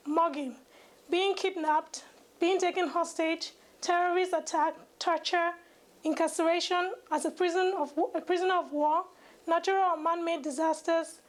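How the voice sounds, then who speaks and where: A young woman, a medical student, giving a scripted educational presentation, reading formally and carefully with occasional stumbles. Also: very high-pitched. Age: young adult.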